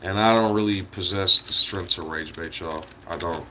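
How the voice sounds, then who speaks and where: A man's voice: deep voice, moderate pace, pushed and bright, a little hiss or hum. An American man giving a casual, rambling livestream monologue in a blunt, opinionated way, with swearing and meandering asides. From United States. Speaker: live streamer.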